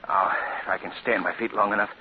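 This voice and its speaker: An actor playing a calm, commanding gentleman hero who speaks in clipped orders, in 1940s radio acting. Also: on the low side, fast, natural voice. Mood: urgent. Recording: tinny scratchy 1940s radio broadcast.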